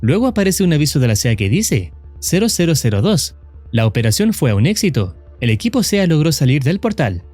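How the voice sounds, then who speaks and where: A man with a slightly high but soft, mellow voice narrates a scripted horror explainer. His measured storytelling moves through a lively range of pitch. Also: no room sound, soft background music.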